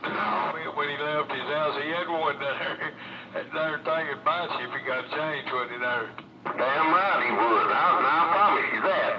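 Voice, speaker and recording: A man's voice: a little high, moderate pace. A man with a Southern US accent rambling in a folksy, colloquial way in casual chat over CB radio. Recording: dull CB radio transmission, loud static noise.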